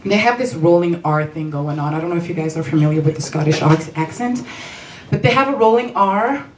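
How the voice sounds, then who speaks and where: A woman's voice: fairly low pitch, animated, fairly quick. Young female comic with Belgian accent, playful stand-up delivery, echoey and distant club sound. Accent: non-native English, Belgian. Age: young adult. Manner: playful, performative, acts out dialogue. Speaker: stand-up comedian. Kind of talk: stand-up comedy storytelling. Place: small comedy club stage, indoors. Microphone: handheld stage mic, recorded by distant camera. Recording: roomy, distant, noisy club recording.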